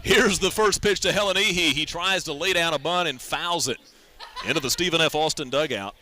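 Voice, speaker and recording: A man's voice: a little high, fairly quick, bright, forceful tone. A man doing live radio baseball play-by-play, describing the action and chatting conversationally.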